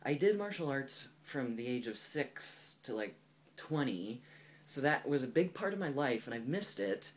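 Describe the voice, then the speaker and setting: A man's voice: medium pitch, very animated, moderate pace. A young man in his twenties talking candidly and thoughtfully to camera for his personal vlog, with lots of likes and ums. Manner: thoughtful and candid, heavy use of "like" and "um". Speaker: video blogger. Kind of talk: casual personal vlog talk.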